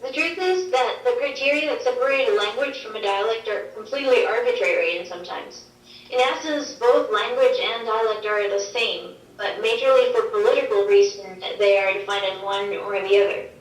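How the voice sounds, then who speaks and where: A woman whose first language is Swedish, answering interview questions on linguistics over a video call, explaining in a measured way with examples. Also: fairly high pitch. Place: played through a wall screen in a dim room. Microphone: video call heard over speakers. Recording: roomy, distant playback from a screen.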